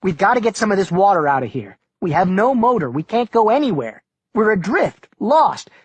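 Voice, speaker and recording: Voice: mid-range voice, very animated, brisk, slightly rough, pitched above the performer's own voice. A reader voicing a frustrated American man stranded at sea who talks in a sarcastic, exasperated way. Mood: urgent.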